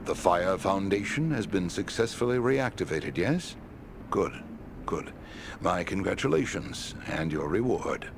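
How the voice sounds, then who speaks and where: A stern old sage from a fantasy game. The voice is low and formal, each phrase set down deliberately, with clear noise behind it. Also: male voice, lively intonation, exaggerated voice. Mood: approving, formal. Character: stern, dignified old wizardly quest-giver. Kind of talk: video game character acting. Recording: clearly noisy game capture.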